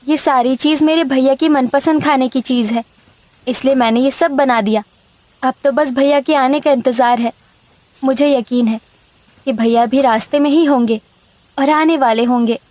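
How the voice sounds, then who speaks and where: A woman's voice: sits high, fairly even intonation, normal speed, darkish tone, no echo, natural voice, poor audio. A woman playing a meek, devoted sister in a family story, with a plaintive, earnest manner. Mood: hopeful. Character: meek, sorrowful, devoted dark-skinned younger sister.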